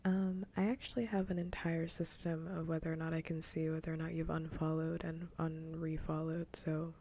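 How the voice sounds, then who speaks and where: Soft whispering woman, low and gravelly. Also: little pitch movement, normal speed, slight background noise. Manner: playful teasing, repeats phrases. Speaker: livestream host. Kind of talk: casual ASMR livestream chat. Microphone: close ASMR microphone.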